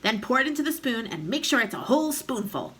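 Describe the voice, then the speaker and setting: A woman's voice: a little high, wildly swooping pitch, a little fast, bright, forceful tone, husky, pitched above the performer's own voice, heavily acted. A woman voicing a cantankerous, bossy old grandmother in a children's storybook, snappish and scolding. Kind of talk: animated children's storybook character voice.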